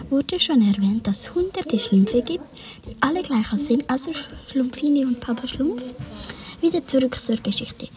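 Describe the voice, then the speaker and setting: A woman whispering a children's story, adding wandering asides. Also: on the high side.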